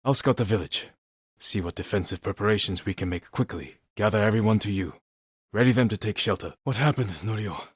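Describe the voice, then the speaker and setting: A man playing a stoic, determined samurai warrior in a video game, with a husky, hushed voice and a terse, grave, measured delivery. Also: on the low side, no echo, studio quality. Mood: concerned, resolute. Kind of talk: dramatic video game voice acting.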